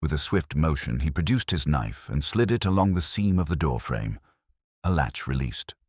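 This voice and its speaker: A man reading a Victorian detective mystery as a measured, literary first-person story. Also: a bass voice, very animated, darkish tone, breathy edge, no room sound, clean studio sound. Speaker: audiobook narrator.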